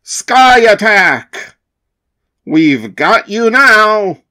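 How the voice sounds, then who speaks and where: Man doing a forceful, bombastic superhero penguin. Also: high voice, sing-song, very slow, voice pushed up, exaggerated voice. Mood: battle-ready. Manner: declamatory, forceful.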